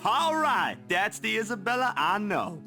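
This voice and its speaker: Woman doing an easygoing young man, lively pitch. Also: unhurried, faint music behind, heavily acted. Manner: casual, folksy. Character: easygoing, friendly young man Zach, amateur filmmaker. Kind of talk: visual novel character read-aloud.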